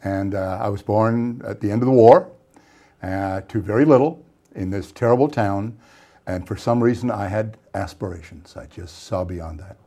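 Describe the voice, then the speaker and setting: Man, sixties, from Scotland, slightly low voice, wry anecdotes, lapel mic, studio interview. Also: moderate pace, dark tone. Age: 60s. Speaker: guest author and songwriter. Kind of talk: casual interview storytelling. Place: small TV studio set.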